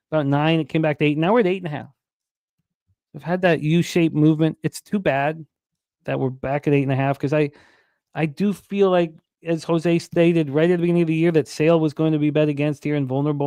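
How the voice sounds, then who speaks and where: A man hosts a sports betting broadcast, his voice a little high and smooth. He runs through odds and stats in a steady patter with filler words. The sound is dry and close to the mic. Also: no room sound. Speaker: sports betting show host. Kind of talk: sports betting radio talk.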